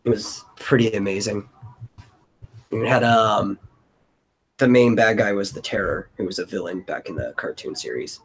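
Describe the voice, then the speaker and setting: A man's voice: fairly low pitch, moderate pace, long pauses, slightly rough, poor audio. A man chatting casually as host of a brainstorming stream. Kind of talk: casual chat.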